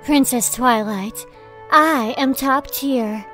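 A woman's voice: high-pitched, slow pace, music in the background. A woman voicing a snobbish, falsely sweet noble lady pony with an affected, condescending manner in a cartoon dub. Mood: saccharine. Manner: affected, condescending, faux-sympathetic.

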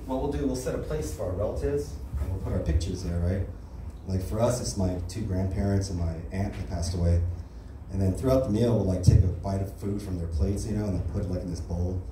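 A young American man doing a casual stand-up set on a small club stage, with a deadpan delivery and frequent "right?" and "you know?". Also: low-pitched, fairly quick, noisy background. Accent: General American. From United States. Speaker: stand-up comedian. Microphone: handheld mic on stand. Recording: roomy club sound from a far camera.

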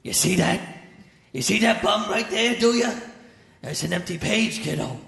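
Creaky, high-pitched boxing cornerman. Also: animated, moderate pace, fairly bright tone, heavily acted. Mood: gruff goading. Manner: streetwise slangy urging, tough-guy grammar. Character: gruff, pugnacious old-school boxing cornerman goading his fighter. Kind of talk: comic radio theater acting. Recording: live theater stage, reverberant, faint noise.

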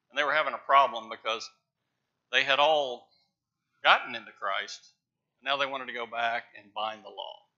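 Emphatic middle-aged preacher guy, sharp bright voice, kinda muffled lo-fi church stream. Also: medium pitch. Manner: emphatic, stresses words with rising loudness. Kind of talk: sermon. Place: large church sanctuary with pews. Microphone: lapel mic, far camera. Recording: dull church livestream audio.

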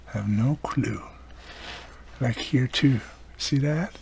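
Gravelly-voiced man, casual repair commentary. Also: medium pitch, slow, darkish tone. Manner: talks in short remarks while working. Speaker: host, radio repairer. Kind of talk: casual hands-on repair narration. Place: indoor workbench. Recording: noisy recording with background room noise.

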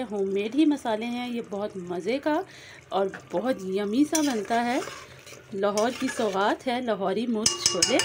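A woman's voice: fairly high pitch, a little mellow. Woman walking viewers through a recipe. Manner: friendly, addresses viewers directly, mixes in English words. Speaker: cooking channel host. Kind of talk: step-by-step recipe narration. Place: home kitchen. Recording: noisy phone recording over sizzling pan. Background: frying and sizzling.

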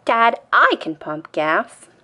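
A woman's voice: fairly high pitch, sing-song, slow, pushed and bright, pitched above the performer's own voice, heavily acted. A woman reading the part of an earnest, upbeat little boy critter in a storybook. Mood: eager.

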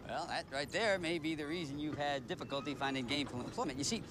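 A man's voice: fairly high pitch, fast talker, natural voice. A man playing a long-winded escaped convict who talks in grand, pompous phrases, heard in a film clip with noisy outdoor sound. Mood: smooth-talking. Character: fast-talking wordy escaped convict man.

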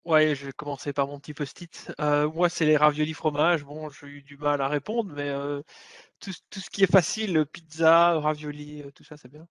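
A man's voice: on the high side, fairly even intonation, moderate pace, a bit bright, no room sound. A man with a Belgian French accent introducing himself casually on a video call panel, with a light, dryly joking manner. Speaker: panelist, technical support engineer.

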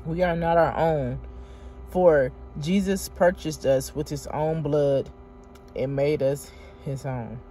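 A woman vlogging from inside her car, reading aloud haltingly and chatting straight to her viewers. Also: deep voice, warm tone, slight background noise. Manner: halting read-aloud, stumbles and restarts; chatty, talks directly to viewers. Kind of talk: casual vlog, reading aloud.

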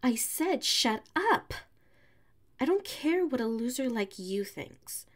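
A woman voicing a prickly, haughty young tsundere classmate with a hidden soft side, in an ASMR audio roleplay. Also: high voice, very animated, exaggerated voice. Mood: indignant, huffy. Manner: haughty, huffy, sighing, drawn-out phrasing.

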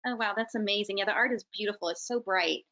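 A middle-aged woman with a mid-pitched voice hosts in a casual, chatty style, passing on questions from the audience. She is on a video call, and the audio is somewhat dull and lo-fi. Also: quick pace, sharp tone. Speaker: host relaying audience questions. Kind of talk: casual hosting chat. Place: indoor room on a video call. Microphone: computer video call.

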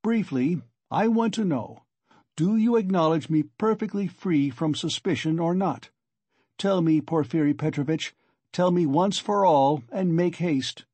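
A man's voice: sits high, expressive, normal speed, hardly any pauses, darkish tone, breathy edge, dry recording, pitched above the performer's own voice, exaggerated voice. A man voicing a haughty, tormented suspect in an audiobook, imperious, agitated and furious. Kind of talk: dramatic audiobook character reading.

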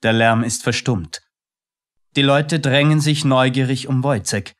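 A man's voice: mid-range voice, steady tone, unhurried. A man narrating a radio drama in scripted storytelling style.

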